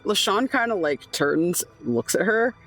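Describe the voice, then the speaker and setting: A woman's voice: medium pitch, sing-song, a little slow, fairly bright tone. A woman playing a tabletop game, chatting and narrating casually with a dry, playful manner.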